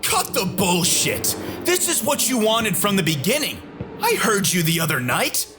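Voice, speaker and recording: Guy acting angry frat ghost, high swooping voice, bitter and confrontational, loud music behind. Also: big pitch swings, unhurried. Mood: angry, accusing. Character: enraged ghost of a young frat man. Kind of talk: audio drama acting.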